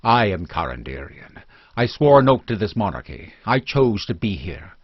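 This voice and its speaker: A man's voice: on the low side, sing-song, normal speed, breathy edge, no room sound. A man voicing a proud, steadfast husband in a fantasy audiobook, grave and restrained. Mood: dignified, defiant. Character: proud, steadfast immigrant husband defending his home. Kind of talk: dramatic fantasy audiobook dialogue. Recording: dull lo-fi recording.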